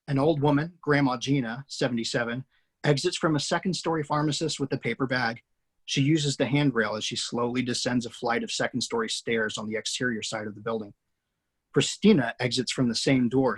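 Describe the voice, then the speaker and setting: A screenwriter hosting a table read over a video call, reading scene directions aloud. His voice is slightly husky and sits at an ordinary middle pitch, delivered plainly and steadily. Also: fairly bright tone. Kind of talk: reading stage directions, then casual chat. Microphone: video call mic.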